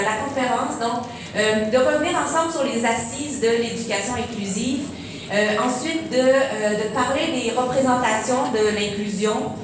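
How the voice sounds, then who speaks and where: A woman from Quebec with a Quebec French accent, opening a conference talk hesitantly with many fillers. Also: fairly high pitch. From Quebec, Canada. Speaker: professor giving a conference talk. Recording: echoey hall with faint noise.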